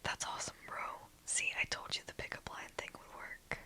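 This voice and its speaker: A storyteller voicing an easygoing, upbeat young male best friend, in casual bro talk and a soft whisper. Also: moderate pace, lightly acted. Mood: encouraging.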